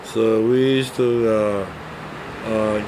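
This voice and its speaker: An elderly Hawaiian man with a Pidgin accent talks in an unhurried, easygoing way, chuckling now and then. His voice sits low and steady. There is noticeable background ambience behind him. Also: noisy background. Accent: Hawaiian Pidgin English. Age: 80s. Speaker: interviewee. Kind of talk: casual interview talk.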